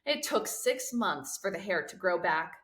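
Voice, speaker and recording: A woman reading a children's novel aloud in expressive storybook narration. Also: fairly low pitch, a little slow. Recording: roomy, echoing sound.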